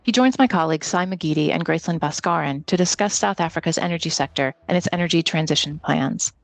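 A woman host reads a scripted podcast opening at a quick clip, with a slightly low voice. Her delivery is smooth and practised, moving without a stumble. The recording is dry and close to the mic. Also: no echo. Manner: brisk, smooth read from a script.

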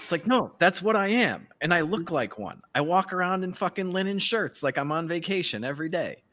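A man's voice: high-pitched, animated, a little fast, fairly bright tone. A man co-hosting a podcast in casual comedic banter, irreverent, profane and rambling. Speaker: podcast co-host.

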